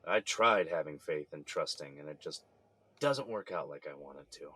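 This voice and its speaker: A man's voice: extremely low pitch, animated, normal speed, pushed and bright, slightly rough, heavily acted. A man playing a weary, embattled middle-aged son in an audio drama, downcast, frustrated and bitter.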